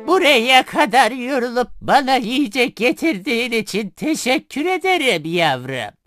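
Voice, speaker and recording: A woman voicing a sly wolf in a children's fairy tale cartoon, coaxing slowly as it poses as a sweet grandmother. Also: on the high side, sing-song, a bit bright, no echo, clean studio sound, soft background music. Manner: coaxing, slow, drawn-out delivery.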